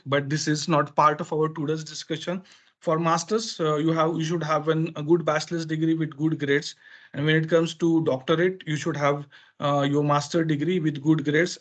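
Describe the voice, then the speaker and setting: A man with an Indian accent, a native Marathi speaker, explaining things informally as a webinar presenter in halting, filler-heavy phrases. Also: on the high side. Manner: halting, hesitant phrasing with frequent filler pauses. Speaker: education consultant presenting a webinar.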